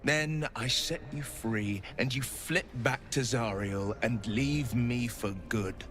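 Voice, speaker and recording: An actor playing an earnest young hero bound by a devil's pact, who bargains firmly. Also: male voice, mid-range voice, slow, over music, close to an everyday voice. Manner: earnest, firm.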